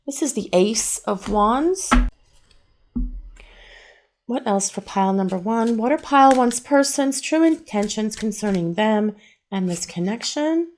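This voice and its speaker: Calm middle-aged woman reading tarot. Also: a little high, slight background noise. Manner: calm, measured, gently repetitive. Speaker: tarot reader. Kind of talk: pick-a-card tarot reading.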